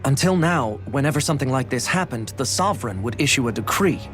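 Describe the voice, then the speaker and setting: Man playing a brisk, worried technician. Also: mid-range voice, animated, a bit bright, soft background music, lightly acted. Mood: urgent. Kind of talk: video game voice acting.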